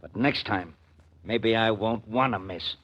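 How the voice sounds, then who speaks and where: An actor playing a hostile, sneering pawnbroker in a 1940s radio drama. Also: male voice, fairly low pitch, measured pace, over loud music, lightly acted. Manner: curt, sneering. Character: surly hostile male pawnbroker, threatening with a gun. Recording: muffled old radio recording, loud hiss.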